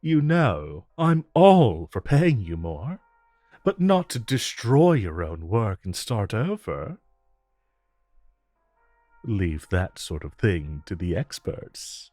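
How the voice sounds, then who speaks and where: A man playing a suave, flirtatious supervillain in a romantic audio roleplay, talking in a smooth, teasing, unhurried way with drawn-out pauses. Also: on the low side, big pitch swings, darkish tone, no echo, soft background music, full character voice. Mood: reluctant, wry. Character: suave, flirtatious male supervillain visiting his tailor. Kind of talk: romantic audio roleplay acting addressed to the listener.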